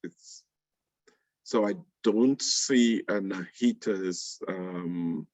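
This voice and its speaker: A man hosting a live online panel, speaking haltingly and often repeating words as he introduces and addresses guests. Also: low voice, darkish tone, no room sound. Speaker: panel host. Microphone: video call. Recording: muffled online call audio.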